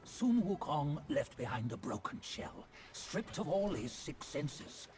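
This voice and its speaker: Grave old game sage: unhurried, archaic phrasing, widely swinging intonation, music underneath. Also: male voice, fairly high pitch, sing-song, a little mellow. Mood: solemn. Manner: solemn, measured, archaic phrasing. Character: solemn old male sage guide, cryptic and grave. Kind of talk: dramatic video game dialogue. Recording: music behind the voice. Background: game score music.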